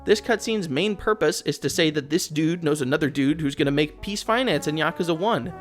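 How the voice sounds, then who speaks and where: A man's voice: fairly high pitch, animated, fairly quick, faint music behind. A man giving blunt, sardonic, casually profane video commentary in an opinionated, conversational style. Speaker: video essayist, host. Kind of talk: opinionated game commentary, casual script with asides.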